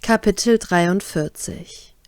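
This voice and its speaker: A woman's voice: medium pitch, slow pace, darkish tone, no room sound. Woman reading a romance story aloud. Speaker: fan fiction reader.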